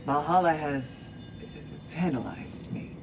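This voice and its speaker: An actress playing a solemn, gentle and resolute valkyrie in a video game, speaking in measured, earnest phrases. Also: female voice, sits low. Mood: solemn, calm. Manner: measured, deliberate, earnest delivery with pauses. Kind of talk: dramatic video game voice acting. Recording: echoey long reverb, faint background noise.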